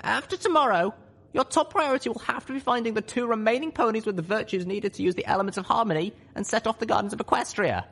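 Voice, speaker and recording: An earnest young mare from a storybook reading, performed by a man. The voice is pitched very high and sounds gentle and selfless. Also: fast talker, vocal fry, full character voice. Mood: sad, resigned. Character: Littlepip, young female pony, earnest, selfless, tearful heroine.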